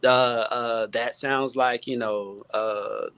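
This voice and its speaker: A man with an American accent talking casually as a guest music producer, halting and repeating words as he stresses his points. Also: mid-range voice, steady tone, fairly bright tone, clean tone, no room sound. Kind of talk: casual panel talk.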